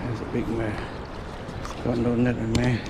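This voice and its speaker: Excited man narrating his fishing outdoors. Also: medium pitch, moderate pace. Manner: excited running self-talk, repeats words. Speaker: fishing vlogger. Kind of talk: live commentary while fishing. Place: outdoors on a riverbank. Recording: outdoor recording with steady background noise.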